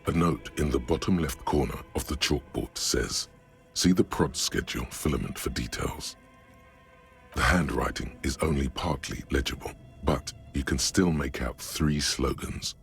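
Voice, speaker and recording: Very deep, gravelly brooding narrator. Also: male voice, a little slow, faint music behind, full character voice. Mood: somber. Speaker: game narrator. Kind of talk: scripted narration.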